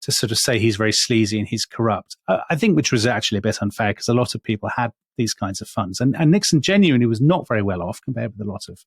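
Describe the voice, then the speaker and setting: A man's voice: on the low side, expressive, quick pace, few pauses, slightly rough, dry recording, clean studio sound. A man co-hosting a casual history podcast, telling a story with plenty of asides and laughter. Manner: chatty, storytelling, laughs often. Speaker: podcast co-host.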